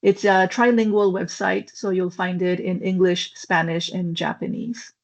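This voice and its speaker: A woman's voice: fairly low pitch, flat delivery, a little slow. A woman lecturer presenting her research in a webinar, speaking thoughtfully with frequent ums and uhs. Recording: slightly dull, lo-fi online call audio.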